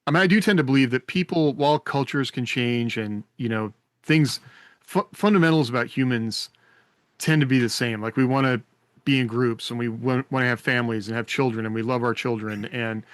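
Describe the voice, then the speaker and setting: A male podcast co-host with an American accent and a middle-pitched voice, recorded very dry. He speaks casually in a panel discussion, tentatively working through his thoughts as he goes. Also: brisk, dry recording. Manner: tentative, thinking aloud.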